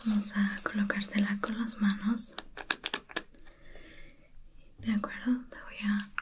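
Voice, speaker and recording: A woman speaking in a soft, breathy whisper, gently and attentively guiding a listener through an ASMR spa roleplay as a soothing facial therapist. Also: mid-range voice, level tone, takes it slowly, noisy background.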